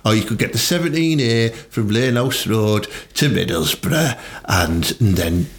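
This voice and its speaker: A man's voice: fairly low pitch, unhurried. A man with a Teesside accent hosting a comedy podcast, chatting casually in blunt, sweary, crude banter. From Teesside, England.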